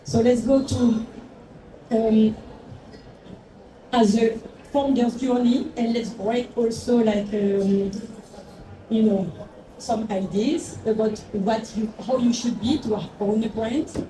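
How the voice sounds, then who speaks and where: Woman, forties, non-native English speaker with a foreign accent, reflective founder's talk, slightly high voice, roomy distant noisy recording. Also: fairly even intonation, slow pace, long pauses. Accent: foreign, not Mexican. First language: not English. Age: 40s. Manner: reflective, searching for words, many fillers. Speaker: tequila brand founder. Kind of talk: talk to an audience. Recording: echoey room, far from the mic, noisy.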